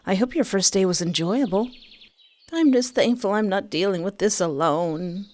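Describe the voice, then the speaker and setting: A woman reading a visual novel aloud, gentle and reflective as narrator and protagonist. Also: medium pitch, normal speed. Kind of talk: reading a game's story aloud.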